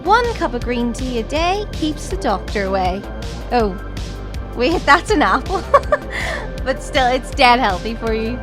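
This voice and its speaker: A woman's voice: fairly high pitch, very animated, measured pace. A young woman playing a cheeky, sarcastic student in an audio drama, bantering casually. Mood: dismissive, sarcastic. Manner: teasing, sarcastic. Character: stylish young Irish student, cheeky and dismissive of boys. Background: music.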